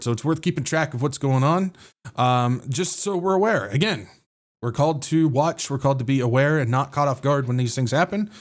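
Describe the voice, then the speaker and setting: A man with an American accent hosting a podcast, sounding a little nasal from drainage, talking casually with lots of fillers and self-corrections. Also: mid-range voice. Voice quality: slightly nasal. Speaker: podcast host. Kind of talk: casual livestream talk.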